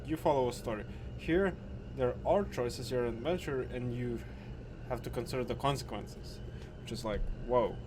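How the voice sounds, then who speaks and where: A man doing casual gameplay commentary, halting and repeating words, with frequent swearing. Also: mid-range voice, noisy background. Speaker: gaming video host.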